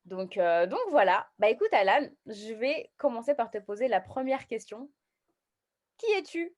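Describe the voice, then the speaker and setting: Female host, slightly high voice. Chatty, upbeat and encouraging, putting questions to a guest. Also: expressive, moderate pace, a little mellow. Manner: enthusiastic, encouraging. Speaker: interviewer, show host. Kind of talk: casual live interview chat.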